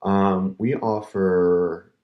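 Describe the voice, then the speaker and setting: Deep-voiced guest in a roomy studio. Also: male voice, very slow, muffled recording. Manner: relaxed, friendly, laughs easily. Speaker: studio guest, land consultant. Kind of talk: radio interview.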